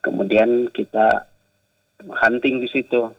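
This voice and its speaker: Gravelly Indonesian man with a Sumatran-tinged accent. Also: medium pitch, fairly even intonation, slow, muffled recording. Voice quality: gravelly, hesitant with many fillers. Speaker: interview guest, writer and journalist. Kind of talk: casual interview talk.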